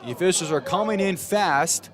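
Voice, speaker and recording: A man's voice: high-pitched, normal speed, crowd noise, noisy background. A young adult American man giving live play-by-play commentary as a football announcer.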